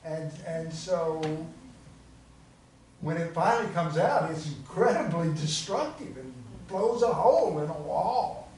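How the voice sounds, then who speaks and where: Slow, hesitant man in an echoey room. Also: a little high, expressive, darkish tone. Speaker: audience member giving feedback. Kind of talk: casual discussion. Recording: very echoey room from far off, faint noise.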